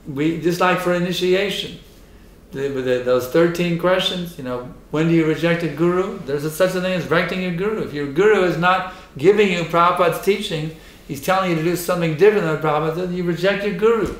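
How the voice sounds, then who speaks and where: A hoarse man giving a temple lecture and answering questions, emphatic and insistent, repeating his points. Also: fairly high pitch, quick pace. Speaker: spiritual teacher giving a lecture. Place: temple hall. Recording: echoey hall.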